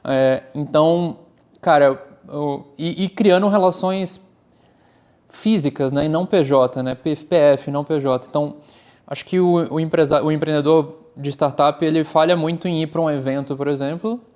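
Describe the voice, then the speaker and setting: A man's voice: on the high side, moderate pace. A Brazilian man, a startup founder talking candidly and energetically as an interview guest. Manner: candid, animated, uses "cara" often. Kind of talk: casual interview talk.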